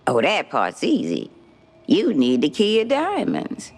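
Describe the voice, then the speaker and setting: A woman's voice: low-pitched, wildly swooping pitch, a little slow, darkish tone, heavily acted. A woman voicing an ancient, sly swamp witch in a cartoon game, with a Louisiana Cajun accent and a folksy, teasing, cryptic drawl. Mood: sly, knowing.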